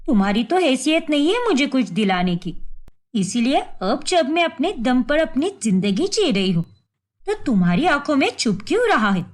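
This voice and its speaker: A woman voicing a scheming, sharp-tongued young wife in a cartoon story, speaking in a theatrical, defensive way. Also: sits high, big pitch swings, moderate pace. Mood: scornful.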